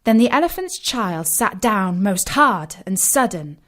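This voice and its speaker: A woman storyteller reading a scripted children's tale in an expressive, deliberate way with emphatic phrasing. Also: medium pitch.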